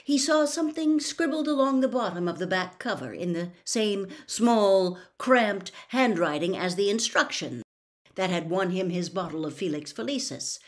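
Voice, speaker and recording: A woman reading a story aloud in an expressive narrating style. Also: on the low side. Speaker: audiobook reader.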